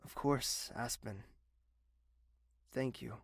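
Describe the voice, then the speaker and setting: A man voicing a stressed, defensive young royal in an audio drama, with a gravelly, slow delivery that keeps stalling and tensing up. The recording is dry and close. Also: mid-range voice, no room sound. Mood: guarded. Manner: halting, tense, guarded. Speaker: voice actor. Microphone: home recording.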